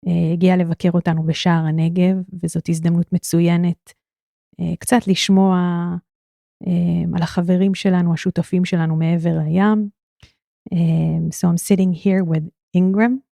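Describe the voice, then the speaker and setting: A woman's voice: lowish voice, little pitch movement, rapid-fire, creaky, dark tone, no echo, studio quality. A woman with an Israeli accent, a native Hebrew speaker, hosting a podcast interview with hesitant fillers. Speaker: podcast host.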